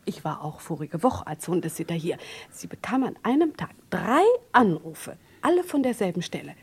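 An actress playing a chatty dog sitter being questioned in a German crime radio play. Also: female voice, on the low side, very animated, normal speed, a little mellow, slightly rough, full character voice. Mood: gossipy, vague.

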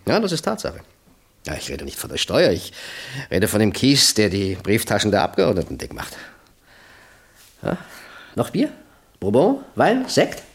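An actor playing a chummy, boastful local gangster chief in a radio crime drama, talking on in jovial bluster. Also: male voice, fairly low pitch. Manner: talkative, jovial bluster with rhetorical questions.

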